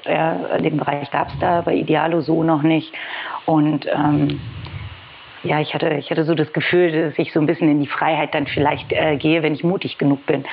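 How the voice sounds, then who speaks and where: A woman's voice: low-pitched, vocal fry. A woman in her forties from Berlin, chatting informally as a podcast guest and telling her own story in a self-deprecating way. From Berlin, Germany. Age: 40s. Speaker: podcast guest, communications professional. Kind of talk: conversational autobiographical storytelling in an interview. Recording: dull lo-fi audio with faint noise.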